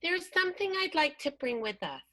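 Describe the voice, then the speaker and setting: Bright-voiced, hesitant, evasive wife, played by a woman. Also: sits high, sing-song, full character voice. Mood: hesitant, pleading. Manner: halting, repeating herself, stammering evasively. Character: hesitant, evasive 1920s wife with a secret lover. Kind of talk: improvised radio soap opera acting.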